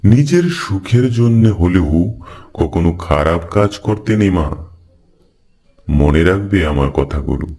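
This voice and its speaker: A man voicing a god disguised as a wise Brahmin, preaching solemnly and gently in a cartoon folk tale. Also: sits low, expressive, heavily acted. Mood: solemn warning. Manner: measured, sermonizing, gentle address. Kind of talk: Bengali moral folk-tale cartoon dub.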